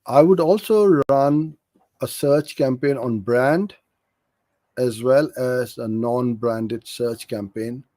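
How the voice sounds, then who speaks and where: A man's voice: mid-range voice, dark tone, no echo. A middle-aged man from Karachi, Pakistan, coaching in an informal live question-and-answer session, frank and practical as he explains. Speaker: digital marketing agency owner hosting a live Q&A.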